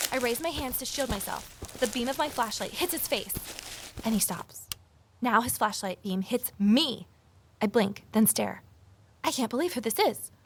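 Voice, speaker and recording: Casting: amateur sleuth woman, slightly high voice, pointed, questioning, expressive audio drama delivery. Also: slight background noise. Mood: tense, frightened. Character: Evelyn, sharp, inquisitive amateur sleuth woman. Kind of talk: full-cast audio drama acting, narration and dialogue.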